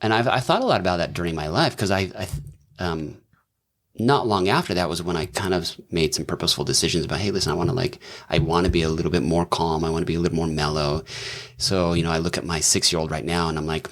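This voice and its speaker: A man's voice: low voice, quick pace, fairly bright tone. A middle-aged man, a doctor and businessman, talking as a podcast guest in a thoughtful, rambling way with many fillers and restarts. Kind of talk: casual conversational interview.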